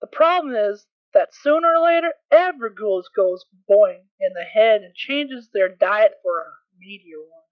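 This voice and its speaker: A woman's voice: mid-range voice, animated, normal speed, hardly any pauses, dry recording, natural voice. A woman playing a concerned, caring unicorn mare in an amateur audio drama, earnest and hesitant as she explains. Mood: uneasy explaining. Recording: tinny, band-limited dry home recording.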